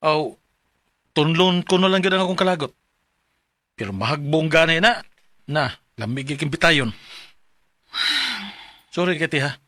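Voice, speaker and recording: A man's voice: a little high, lively intonation, a little slow, pauses often, bright, forceful tone, dry recording, clean studio sound. A man acting an exasperated, caring younger brother in a radio drama, with a Cebuano Filipino accent. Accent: Filipino, Cebuano-speaking. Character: exasperated, protective younger brother, hot-tempered but caring.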